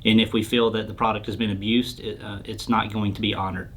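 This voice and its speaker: A man's voice: fairly low pitch, flat delivery, fairly quick, a bit bright, slightly rough. A man answering questions as a company product expert, in an informal, plain-spoken explanatory style. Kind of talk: casual explanatory interview talk.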